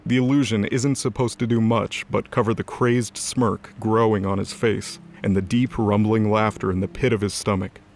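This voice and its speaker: A man's voice: fairly low pitch, steady tone, normal speed, few pauses, a little mellow, slight background noise. A man reading scripted, dramatic story narration as the narrator of an audio drama. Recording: music under the voice. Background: music.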